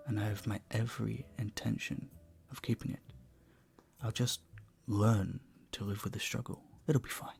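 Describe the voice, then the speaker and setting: Man playing a low, creaky-voiced vampire bartender. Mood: thoughtful, resigned. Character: earnest, courteous, flirtatious male vampire bartender. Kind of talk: intimate scripted audio roleplay.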